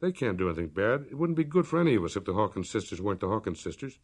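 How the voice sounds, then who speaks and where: A man's voice: fairly low pitch, big pitch swings, racing. A man voicing a reticent, troubled farmer in a story, speaking plainly and hesitantly.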